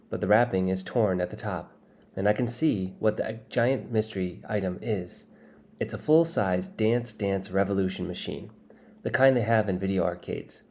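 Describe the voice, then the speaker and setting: A man reading a first-person children's novel aloud as expressive, scripted narration. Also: low-pitched. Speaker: audiobook reader.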